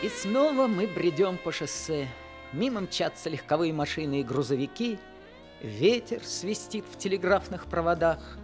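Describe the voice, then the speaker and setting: Wistful teen narrator, expressive voice, faint music bed. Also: male voice, high voice, normal speed. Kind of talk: 1970s Soviet radio play narration.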